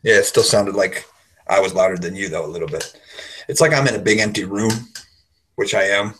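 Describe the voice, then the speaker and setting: A man's voice: sits low, fairly quick, a bit bright, a little hiss or hum. A man co-hosting a casual radio show with joking banter, talking into a computer's built-in microphone in a big empty room. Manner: wisecracking.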